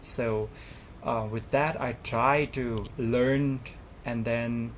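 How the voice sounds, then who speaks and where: A man's voice: mid-range voice, steady tone, drawn-out words, a little hiss or hum. A young man whose first language is Thai, answering interview questions earnestly as a student representative, with many fillers and restarts.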